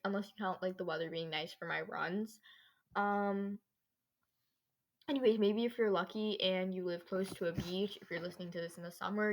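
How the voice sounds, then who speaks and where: A teenage girl hosting a casual solo podcast, chatting in a rambling, slangy way. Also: mid-range voice. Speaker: podcast host. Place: own bedroom.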